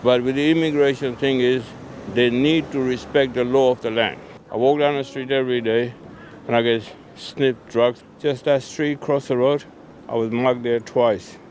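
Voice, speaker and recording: A man's voice: mid-range voice, fairly even intonation, moderate pace, a little mellow. An older man from Pakistan answering a street interviewer bluntly, complaining about his area. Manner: blunt, complaining, simple phrasing. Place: outdoors on a city street. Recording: street noise in background.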